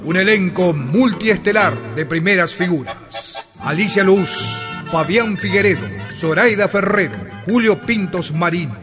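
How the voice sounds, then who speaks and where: A man narrating a radio drama with solemn, theatrical, measured phrasing. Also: sits high, animated. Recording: studio radio drama with music underneath.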